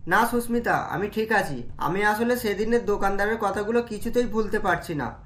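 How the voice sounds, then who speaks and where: A woman's voice: medium pitch, a little fast, fairly bright tone, pitched below the performer's own voice, close to an everyday voice. A woman voicing a young married man in a cartoon, a doting but easily indignant husband who talks emphatically. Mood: reflective. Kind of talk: cartoon story dialogue. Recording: music under the voice.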